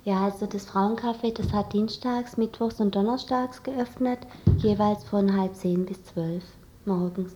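A woman's voice: mid-range voice, fairly even intonation, a little slow, dark tone, slight background noise. A young woman giving casual explanations as a guest in an interview. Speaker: interview guest, student activist.

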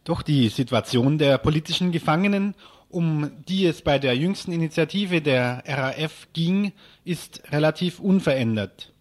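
Higher-pitched male radio presenter. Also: moderate pace. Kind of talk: radio news magazine presenting.

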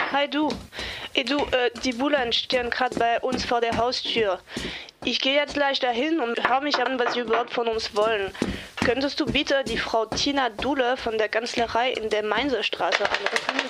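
Woman acting an assertive, level-headed young flatmate. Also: on the high side, background noise, close to an everyday voice. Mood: brisk. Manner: assertive, brisk, organising. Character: young female flatmate, level-headed and defiant toward police. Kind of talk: amateur radio play acting.

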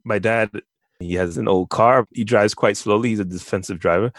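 A man's voice: fairly low pitch, moderate pace, no echo, studio quality. A man telling a personal story conversationally as a panelist.

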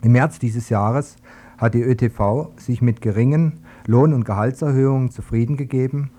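A man reading a scripted radio news report in a steady, even delivery. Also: mid-range voice, moderate pace.

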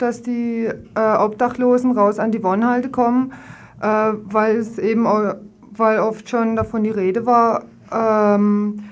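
A woman's voice: medium pitch, a little mellow. A woman giving spontaneous interview answers as a local resident, hesitant and searching for words.

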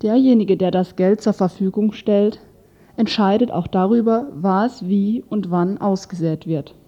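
A woman's voice: medium pitch, measured pace, mellow, dull audio. A woman reading a scripted report as a radio news reporter. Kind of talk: scripted report read aloud.

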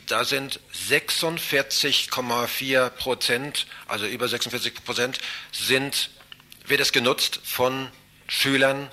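A man answering interview questions as a citizens' association chairman, halting and rephrasing himself as he goes. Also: medium pitch, sharp tone.

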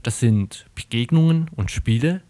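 A male radio reporter delivers a scripted satirical piece. His pitch is average and his manner wry and ironic, recorded in a dry studio with hardly any room sound. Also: animated, measured pace, mellow, no echo.

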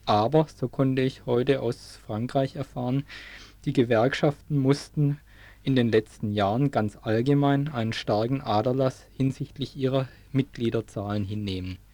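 A man's voice: medium pitch, moderate pace, dark tone, no room sound. A man reading a scripted radio news report as a reporter.

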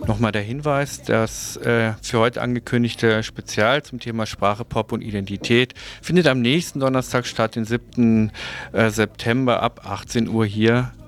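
A man's voice: mid-range voice, steady tone, normal speed, soft background music. A man hosting a radio news programme, reading out announcements and signing off. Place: radio studio.

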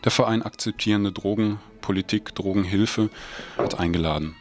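A man's voice: on the low side, normal speed. A man hosting a radio magazine, presenting the programme to listeners. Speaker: radio host. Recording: studio voice over music bed. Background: music.

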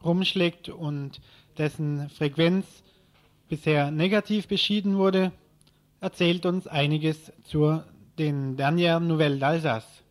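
A man's voice: a little high, slow. A man working as a radio reporter, delivering a scripted news report.

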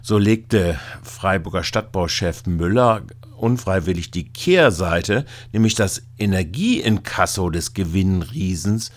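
Male reporter, low pitch, very slow delivery, halting narration. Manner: halting, with hesitations. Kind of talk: scripted report narration with commentary.

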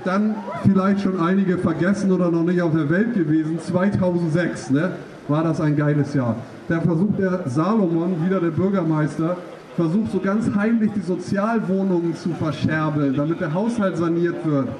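A man's voice: high voice, moderate pace, a little mellow. A man giving a satirical rally speech outdoors, sarcastic and colloquial, often tacking little questions onto his sentences. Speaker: satirical speaker at a street demonstration. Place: outdoor street demonstration. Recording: echoey, noisy, distant outdoor PA recording.

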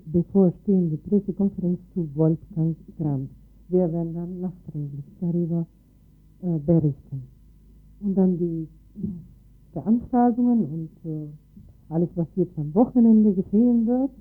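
A woman co-hosting a radio news show, making unscripted announcements in a halting way, searching for words. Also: fairly low pitch. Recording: lo-fi phone-like radio, music behind.

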